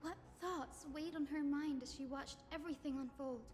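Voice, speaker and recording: An earnest young noblewoman from a fantasy game, played by a woman, speaks gently and formally. Her voice is high, set over clearly audible music. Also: moderate pace, close to an everyday voice. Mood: earnest, wondering.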